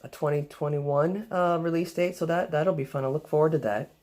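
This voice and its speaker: A young man with a soft voice, vlogging in a casual, rambling way, full of fillers and restarts. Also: on the high side, brisk. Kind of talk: casual rambling vlog talk.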